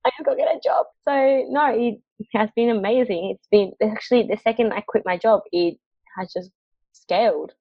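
A woman's voice: medium pitch, normal speed, no echo. Chatty young woman from Mexico, dry lo-fi audio. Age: young adult. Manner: chatty, laughs often, many fillers. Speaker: guest, marketing agency founder. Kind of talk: casual interview chat. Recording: dry, dull lo-fi call audio.

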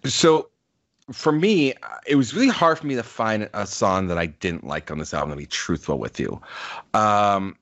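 A man's voice: lowish voice, animated, normal speed, a bit bright, dull audio. A man in his forties co-hosting a podcast, talking in an earnest, reflective way with plenty of fillers. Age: 40s. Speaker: podcast co-host. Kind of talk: casual podcast chat.

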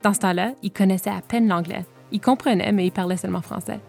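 Gently questioning woman. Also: medium pitch, steady tone, quick pace, vocal fry, faint music behind. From Eastern Ontario, Canada. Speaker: interviewer, older sister. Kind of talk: casual interview.

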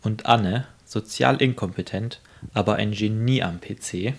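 A man's voice: fairly low pitch, measured pace, vocal fry. A man playing the narrator of a detective radio play, reading scripted scene-setting lines. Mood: storytelling.

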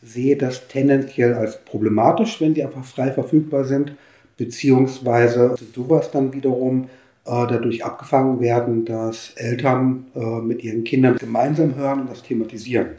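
A man's voice: mid-range voice, fairly even intonation, normal speed, dark tone, roomy sound. A man giving considered expert answers in an interview, with formal academic wording and some hesitation.

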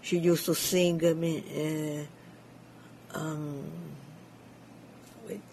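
Older woman with a Cuban Spanish accent, Yiddish as her first language; halting, dark-toned interview answers. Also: low-pitched, little pitch movement, slight background noise. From Cuba. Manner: hesitant, searching for English words. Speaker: community leader. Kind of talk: interview conversation.

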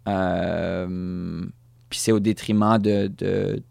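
Slow, hesitant Fransaskois man. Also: deep voice, level tone, gravelly, a little mellow, dry recording, clean studio sound. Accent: Fransaskois French, influenced by English. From Saskatchewan, Canada. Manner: hesitant, many restarts and fillers, slips into English words. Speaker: guest musician. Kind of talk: casual interview chat.

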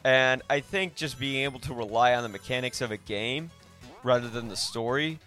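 A man's voice: mid-range voice, moderate pace, bright, forceful tone, soft background music. A man hosting a podcast, discussing films casually and conversationally as he thinks aloud. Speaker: podcast host.

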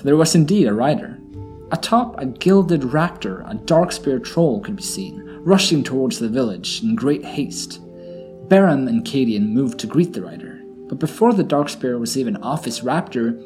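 A male narrator reads scripted fantasy storytelling in a middle-pitched voice. His intonation is lively and expressive, and music plays underneath. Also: normal speed. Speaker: narrator and host.

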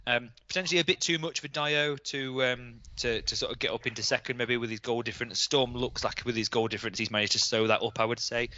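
A man's voice: medium pitch, sharp tone, no room sound, highs cut off. A man chatting casually and in a blokey way as he hosts a podcast among friends. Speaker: host of a gaming league podcast.

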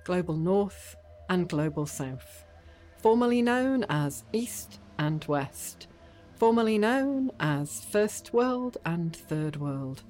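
Female actor solemn corridor inhabitant, low voice, slow measured recitation, soft music bed. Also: expressive. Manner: measured, listing phrase by phrase. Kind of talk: poetic radio play recitation.

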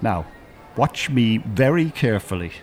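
An actor playing an older retired teacher in a radio drama, with precise formal wording and dry sarcasm. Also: male voice, on the low side, lively intonation, unhurried, a little mellow, soft background music. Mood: smug, instructive. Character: prim, sardonic retired teacher, older man.

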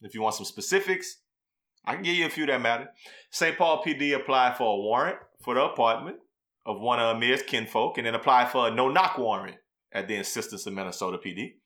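A man's voice: mid-range voice, a little fast, sharp tone. A man co-hosting a podcast, talking in a slangy, blunt, emphatic way as he gives casual commentary. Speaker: podcast co-host.

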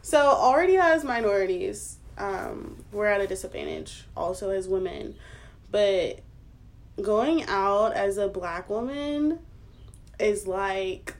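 Woman in her twenties, podcast co-host, casual chat, mid pitch, lots of fillers and laughing. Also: lively intonation, slow. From United States. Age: 20s. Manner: chatty, laughs often, many fillers.

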